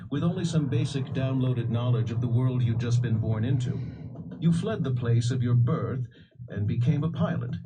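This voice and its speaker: An actor narrating a science fiction adventure game in a solemn, scripted storytelling style, addressing the listener directly. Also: male voice, medium pitch, close to an everyday voice, low-quality recording. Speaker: narrator of a voice-based role-playing game. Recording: music bed under narration. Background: background music.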